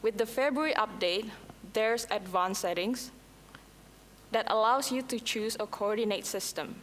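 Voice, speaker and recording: A woman's voice: a little high, measured pace, fairly bright tone, a little hiss or hum. Indonesian woman presenting in a hall. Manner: reads prepared lines, occasional slips and restarts. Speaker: conference presenter. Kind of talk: scripted product demo talk. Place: conference hall. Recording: long hall reverb, faint ambience.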